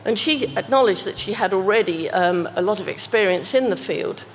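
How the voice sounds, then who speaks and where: A woman giving an academic talk on research case studies, speaking in a measured way and reading quoted passages aloud. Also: medium pitch, animated, in a large hall, a little hiss or hum, low-quality recording. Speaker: academic presenter.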